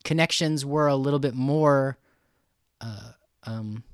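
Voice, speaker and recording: A man hosting a podcast in a casual, halting, thinking-aloud way as he talks with a guest. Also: medium pitch, clean tone, no room sound, studio quality. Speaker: podcast host interviewing a guest. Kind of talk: casual conversational podcast talk.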